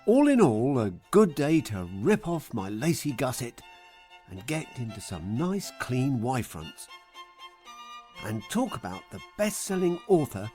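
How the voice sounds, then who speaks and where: A man's voice: mid-range voice, very animated, measured pace, warm tone, soft background music. A man narrating his own comic audiobook in a theatrical, mock-poetic, wry way. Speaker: author reading his own comedy book.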